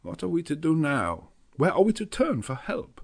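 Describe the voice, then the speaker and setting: A man's voice: very animated, moderate pace, vocal fry, exaggerated voice. A man voicing an elderly, earnest, fatherly professor, speaking in an emphatic, rising voice. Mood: urgent. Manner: emphatic, rising to high pitch.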